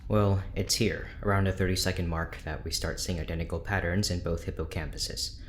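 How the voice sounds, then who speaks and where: Guy playing tired reserved doctor, real low pitch, hesitant clinical talk, some ambient noise. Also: steady tone, brisk, lightly acted. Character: reserved, weary, uneasy research doctor. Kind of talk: audio drama acting.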